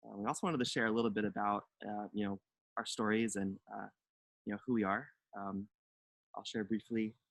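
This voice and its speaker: A man's voice: lowish voice, fairly even intonation, fairly quick, no echo. A man from North Carolina, co-leading a youth delegation, talking reflectively with many fillers on a webinar. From Durham, North Carolina. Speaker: delegation co-leader. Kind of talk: webinar talk.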